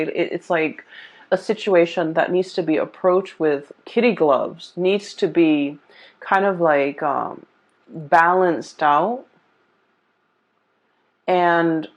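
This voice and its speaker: Woman, low pitch, tarot reader, halting explanatory talk, dull lo-fi recording. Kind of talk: casual tarot reading, spoken off the cuff. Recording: dull, muffled lo-fi sound.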